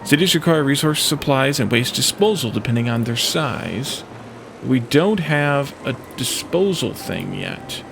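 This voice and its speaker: A man's voice: medium pitch, animated, a little slow, creaky, noisy background. A man giving casual gameplay commentary, thinking aloud and musing his way through problems as a let's play host. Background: background music.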